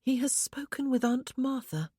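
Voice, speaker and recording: A woman voicing a gentle, earnest young woman who speaks in a light, hesitant way. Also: high-pitched, lively intonation, normal speed, breathy edge, no echo, studio quality, pitched above the performer's own voice. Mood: troubled.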